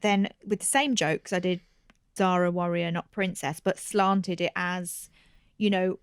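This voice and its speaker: A woman's voice: lowish voice, steady tone, unhurried, smooth, no echo, clean studio sound. A woman comedian in her thirties, a guest chatting candidly on a podcast, laughing and often trailing off and restarting. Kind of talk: casual podcast chat.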